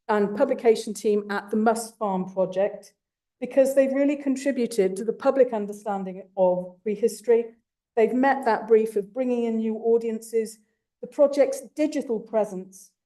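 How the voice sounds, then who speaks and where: A woman giving a formal awards speech, enthusiastic and warm, with small asides to the audience. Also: fairly high pitch. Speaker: awards presenter.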